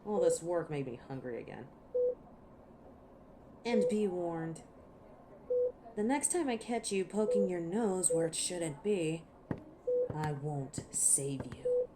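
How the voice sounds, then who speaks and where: A woman's voice: on the low side, lively intonation, takes it slowly, pauses often, heavy background noise. A woman playing a defiant, hot-tempered, sarcastic female werewolf who protects her turf in an audio drama. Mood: weary, irritated. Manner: sardonic, taunting. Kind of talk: dramatic audio roleplay acting.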